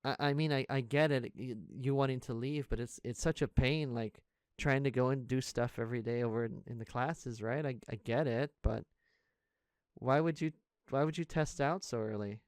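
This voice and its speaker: A man playing an earnest, caring high school senior in an intimate audio roleplay, speaking casually and haltingly to a best friend. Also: steady tone, smooth, no room sound, clean studio sound, natural voice. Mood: serious, bewildered. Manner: casual, halting, stammering, conversational.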